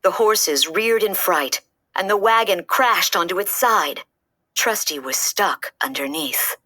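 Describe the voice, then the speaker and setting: A woman reading a children's story aloud with expressive narration. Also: fairly low pitch, slow pace, dry recording.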